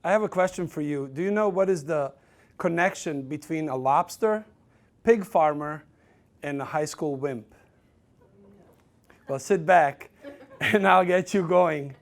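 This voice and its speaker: A man's voice: a little high, a little slow, long pauses. A man in his forties from Serbia with a Serbian accent, telling his life story as a motivational speaker, with self-deprecating humor. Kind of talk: motivational keynote speech.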